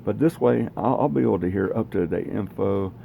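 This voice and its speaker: A man with a very low, creaky voice gives a casual equipment demo. He talks in a plainspoken, rambling way. There is faint noise behind him. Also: fairly quick, narrow, tinny audio. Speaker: radio hobbyist presenting own equipment. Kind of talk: casual equipment demonstration vlog. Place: home garage.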